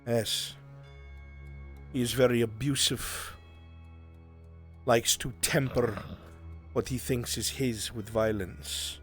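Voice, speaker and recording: A man playing a grave, earnest character in a fantasy roleplay, speaking deliberately with dramatic pauses. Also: mid-range voice, fairly even intonation, soft background music, close to an everyday voice. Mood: bitter, grim. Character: grave, earnest man pleading for a lost enslaved friend. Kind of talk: in-character improvised fantasy acting.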